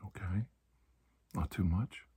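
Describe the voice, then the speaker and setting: Low, whispering ASMR man as doctor. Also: warm tone, slight background noise. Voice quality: breathy, mostly whispered. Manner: slow, soothing, halting short phrases. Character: calm, reassuring male doctor soothing a patient. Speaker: ASMR performer playing a doctor. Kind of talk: whispered ASMR medical roleplay.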